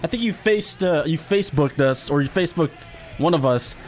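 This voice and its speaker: A man co-hosting a casual talk show, joking and laughing often in quick banter, with a slightly nasal voice. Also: fairly high pitch, animated, faint music behind. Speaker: podcast co-host. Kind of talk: casual chat.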